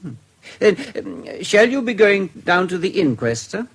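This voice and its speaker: An eager British detective's assistant in a radio play. His voice is high and very varied in pitch, with a deferential, hesitant, inquisitive manner. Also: sing-song, a little slow, creaky, slightly rough, exaggerated voice. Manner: hesitant, respectful. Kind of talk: radio drama acting.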